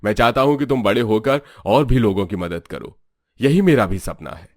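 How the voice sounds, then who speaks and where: A man's voice: on the low side, expressive, moderate pace, no echo, studio quality. A man playing a kindly, encouraging school principal with reassuring authority in a storybook drama. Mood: earnest. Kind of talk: storybook audio drama acting.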